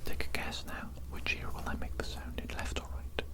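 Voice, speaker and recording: Whispering woman in an ASMR doctor roleplay. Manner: slow, repetitive, deliberate phrasing. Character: evil doctor running experiments on a captive.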